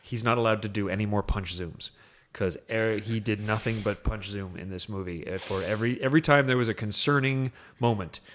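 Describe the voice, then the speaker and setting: A man co-hosting a casual film podcast, speaking haltingly and repeating words as he searches for the next one. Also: on the low side, a bit bright.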